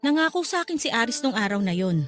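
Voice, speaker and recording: High-voiced bitter grieving mother. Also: sing-song, fast, fairly bright tone. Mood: angry grief. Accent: Filipino. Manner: cold, reproachful, firm. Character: bitter, unforgiving grieving mother, older sister. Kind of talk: Filipino radio drama acting. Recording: music under the dialogue.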